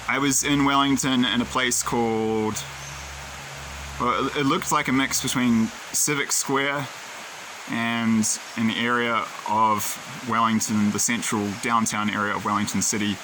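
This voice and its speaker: A man's voice: mid-range voice, little pitch movement, unhurried, background noise. A man talking casually to his own camera in a rambling, digressive, opinionated way. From New Zealand. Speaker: video creator. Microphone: camera he films himself with.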